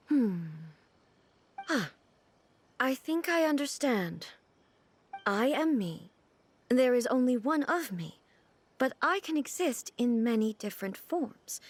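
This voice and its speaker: An actress playing a calm, formal female ruler goddess in a video game, speaking in a measured, reflective way. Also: on the high side. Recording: game soundtrack under voice.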